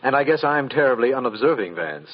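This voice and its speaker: An actor playing an earnest, slightly baffled district attorney in an old-time radio mystery, formal and questioning. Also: male voice, mid-range voice, expressive, fast talker, lightly acted. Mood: sheepish, admiring. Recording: muffled vintage radio recording.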